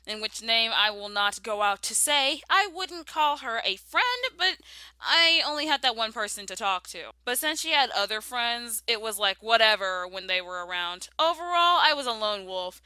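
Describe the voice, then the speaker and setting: Bright, animated young American woman storytelling. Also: a little high, smooth, no room sound. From southern United States. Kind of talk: scripted personal story narration.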